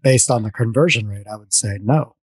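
A middle-aged man hosts a casual podcast over a video call, talking through long stories full of restarts and asides. His voice sits at a middle pitch and sounds close and dry through his headset. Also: a little fast, husky, no echo. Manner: rambling, animated storytelling with restarts. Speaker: podcast host. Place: dim home room.

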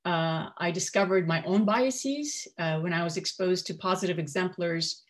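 A woman in her forties from Kuwait speaking on a panel, telling her personal story in a measured, articulate way. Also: fairly low pitch. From Kuwait, of Syrian parents. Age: 40s. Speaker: panel speaker, coach and media professional. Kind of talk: personal storytelling in a prepared talk.

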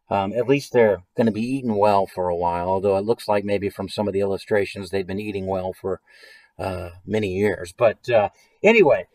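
A man's voice: on the low side, a little mellow, no echo, poor audio. An American man in his forties speaking in a playful, chatty way, like an author hosting a children's story time and talking straight to his listeners. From St. Louis area, United States. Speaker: children's author and illustrator reading his book. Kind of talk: children's story time read-aloud with trivia quiz. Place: small room at home, indoors.

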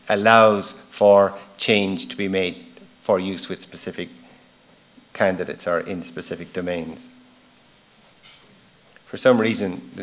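A middle-aged man giving an informal, explanatory keynote at a lectern, plain-spoken, stressing key words and letting phrase ends trail off. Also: sits low. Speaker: keynote speaker. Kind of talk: conference presentation, informal and explanatory. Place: large wood-panelled auditorium, at a lectern. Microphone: lectern gooseneck microphone.

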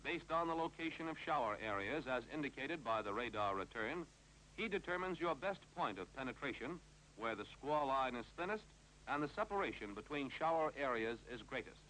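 An American man narrates a 1950s instructional film in a clipped, authoritative way. His voice is bright and forceful, with lively intonation that rises and falls through each instruction. The old film soundtrack adds faint noise and hum. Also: medium pitch, a little fast, rough recording.

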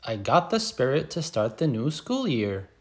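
A man reading a picture book as an excited little schoolgirl, expressive, with emphatic sound-effect words. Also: medium pitch, moderate pace. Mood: eager, upbeat. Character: excited little schoolgirl telling her first day. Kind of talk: picture book read-aloud.

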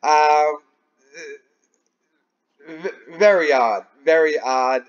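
Male online commentator, unhurried and rambling, puzzled tone, casual delivery, muffled low-fidelity recording. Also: clear, resonant tone. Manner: baffled, rambling, repeats phrases for emphasis. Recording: dull, lo-fi sound.

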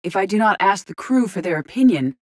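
An actress playing a calm, logical artificial intelligence in an android body in a video game, speaking formally and precisely with restrained emotion. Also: female voice, mid-range voice, fairly quick, natural voice. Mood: calm, matter-of-fact. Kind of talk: scripted video game voice acting.

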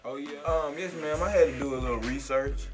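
A young adult man with an American accent hosts a casual video in a small room. His talk is loose and full of slang, with music clearly playing behind him. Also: a little high, fairly quick. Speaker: prank-call video host.

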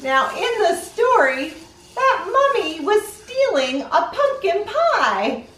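A middle-aged woman hosting children's storytime, animated and sing-song, speaking directly to young listeners. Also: extremely high pitch, slow, roomy sound, a little hiss or hum, poor audio. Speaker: children's read-aloud show host. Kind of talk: children's storytime presenting and picture-book reading.

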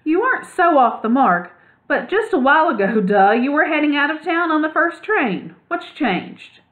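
A cool, teasing female city mouse who lounges through every line, as a woman puts her on. Her slang comes out high and lazy over a tinny, phone-like line. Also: expressive, slow, reverberant. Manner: laid-back, teasing, slangy.

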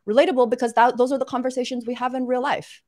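A woman's voice: on the high side, flat delivery, very fast, darkish tone, no room sound, clean studio sound. A woman in her thirties from Arkansas, chatting casually as a guest on a video-call interview, with lots of "like" and "you know". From Arkansas, United States. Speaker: podcast host and guest. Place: home room, indoors. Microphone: webcam on a video call.

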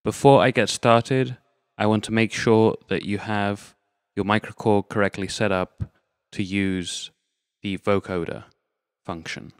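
Man, lowish voice, smooth and clear, measured tutorial delivery, close dry microphone. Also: fairly even intonation, dry recording. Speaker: presenter of a synthesizer tutorial. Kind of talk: instructional product demonstration, explaining step by step. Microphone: external dynamic microphone, close.

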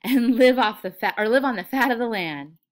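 A woman's voice: medium pitch, lively intonation, brisk, close to an everyday voice. A big, simple-minded man, played by a woman reading a storybook aloud. He is childlike and eager, with a pleading manner and folksy, ungrammatical country speech. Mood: excited.

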